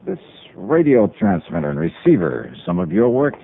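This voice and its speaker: Low, swooping radio detective. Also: male voice, huge pitch swings, normal speed, vocal fry, a little hiss or hum, full character voice. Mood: pressing, accusing. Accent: American. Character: sharp, confident freelance insurance investigator, wry and accusing. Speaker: star of the radio series. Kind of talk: 1950s radio detective acting. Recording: tinny, band-limited old radio broadcast.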